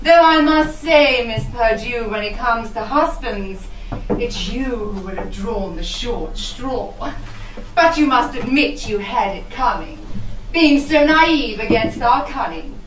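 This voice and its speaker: Casting: actress as sly gloating young lady, period stage farce, arch drawn-out delivery, highish voice, slightly distant. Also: lively intonation, a little hiss or hum. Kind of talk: theatrical comic costume-drama verse. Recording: echoey room, slightly distant.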